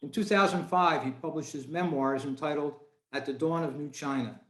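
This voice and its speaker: A man's voice: fairly high pitch, moderate pace. An American man giving a prepared, formal introduction of a guest at a club meeting. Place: indoors on a video call. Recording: echoey room on video call.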